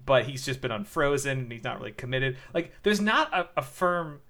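A man's voice: mid-range voice, expressive, moderate pace, sharp tone. A man co-hosting a podcast, chatting casually, hesitating often with restarts and fillers. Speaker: podcast co-host. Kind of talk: casual chat.

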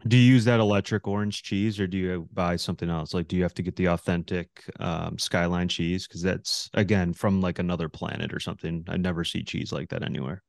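Very deep, gravelly-voiced male podcast host. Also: fairly even intonation, no room sound, studio quality. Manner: halting, repeats words while asking questions. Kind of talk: casual interview questions.

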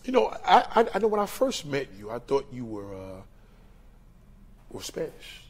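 A man's voice: mid-range voice, wildly swooping pitch, normal speed. A man from New York with a New York accent, hosting a casual interview in a slangy, teasing way. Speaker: host.

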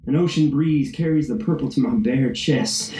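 An actor playing a driven, burnt-out investment banker, giving a poetic, intense confession as a radio-play monologue. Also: male voice, medium pitch, normal speed, lightly acted. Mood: dreamy. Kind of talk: dramatic monologue in a 1940s-style radio play. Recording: crackling old-radio fuzz, roomy echo, music behind.

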